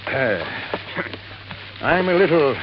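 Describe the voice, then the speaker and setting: A man playing a fussy, formal lawyer who speaks haltingly, in 1950s radio drama style. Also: on the high side, sing-song. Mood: formal, flustered. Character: fussy, formal male lawyer reading a will. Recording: muffled, hissy old radio recording.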